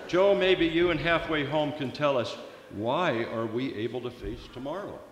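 A man's voice: medium pitch, moderate pace. A man in his sixties hosting an old-time gospel radio show before a live congregation. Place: large church sanctuary, at a pulpit. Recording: echoey church hall, faint room noise.

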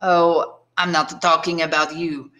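A woman's voice: deep voice, level tone, a little slow, fairly bright tone. Woman putting on a smug, breezy young tailor. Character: smug grinning tailor neighbour, man of twenty-five. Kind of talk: audiobook character dialogue.

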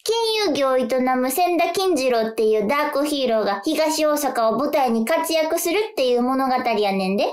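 A woman's voice: high-pitched, lively intonation, moderate pace, hardly any pauses. A woman acting a cheeky, chatty fifth-grade schoolgirl with a Kansai accent in a radio drama. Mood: chatty, earnest.

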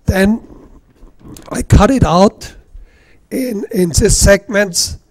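A middle-aged man with an Austrian accent giving a casual, halting workshop demonstration, with self-deprecating asides. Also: high voice, a little mellow. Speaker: woodturning demonstrator. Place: club meeting room.

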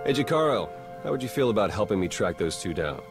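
A man's voice: lowish voice, sing-song, fast, over loud music. An actor playing a wry, confident young male secret agent in a video game, talking casually with dry wit. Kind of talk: scripted video game cutscene acting.

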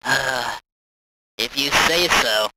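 A woman's voice: rumbling low, extremely slow, very noisy, heavily acted. A woman playing an irritable, explosive male cartoon hedgehog co-host in an amateur audio drama. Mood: earnest, subdued. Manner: irritable, explosive, shouts when thwarted. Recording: loud music behind the voice.

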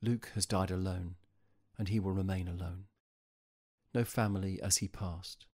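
Grave horror-drama narrator, played by a man, low-pitched. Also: fairly even intonation, normal speed, a little mellow. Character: grave male narrator of a bleak horror tale. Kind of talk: scripted audio drama narration.

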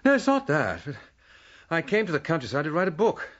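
An actor playing a testy, sardonic military gentleman in a radio drama, well-mannered with a sarcastic edge. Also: a little high, wildly swooping pitch, fairly quick, highs cut off. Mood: awkward, genial.

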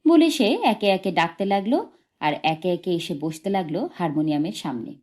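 A woman reading literary fiction aloud as an audiobook, in expressive scripted narration. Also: lowish voice, normal speed. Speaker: audiobook reader.

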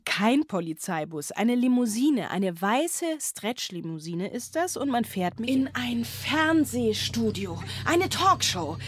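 A woman playing a haunted, bewildered single mother in a German radio drama, speaking in measured, literary fragments. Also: a little high, big pitch swings, slightly rough, no room sound, exaggerated voice. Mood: excited.

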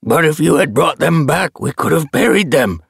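A man's voice: mid-range voice, expressive, a little slow, creaky, breathy edge, dry recording, clean studio sound, voice pushed up, heavily acted. A man voicing a frail, grieving old male cat elder in a storybook reading, speaking in a shaky, protesting way.

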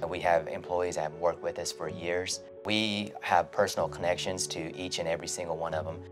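A man with a deep, very level voice talks as a hotel owner in a documentary interview. Faint music plays behind him. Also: steady tone, a little fast.

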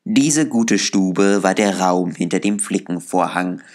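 A man reading an audiobook as narrator, in measured, deliberate scripted narration. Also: low voice, darkish tone. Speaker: audiobook reader.

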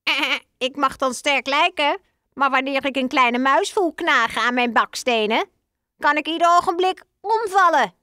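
Sad storybook brick wall, slow and dry; woman voicing. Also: sits high, bright, forceful tone, no room sound, voice pushed up.

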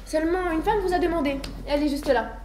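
An actress plays a hotel chambermaid with a high voice, formal and deferential with a sardonic streak. The delivery is theatrical farce, recorded on an echoey stage with clear noise. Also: female voice, quick pace, a little mellow, natural voice. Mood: polite, insistent. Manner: formal, servile address.

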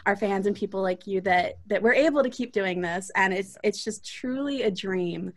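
A woman's voice: medium pitch, normal speed. A young adult woman chatting casually as a performer on a live podcast panel. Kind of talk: casual chat, thanking fans. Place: indoors, on a video call.

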